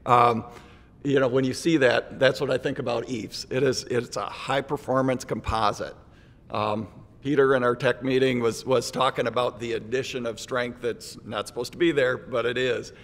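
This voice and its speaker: A man's voice: medium pitch, fairly even intonation, normal speed, a bit bright. A man on an industry panel, speaking in a wry, light-hearted way. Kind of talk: panel discussion. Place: conference hall stage panel. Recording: reverberant conference hall.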